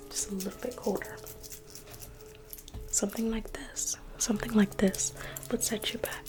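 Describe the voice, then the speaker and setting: A woman's voice: medium pitch, steady tone, normal speed, over music. Whispering woman playing a museum guide. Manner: playful, teasing salesy whisper. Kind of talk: ASMR roleplay whispering.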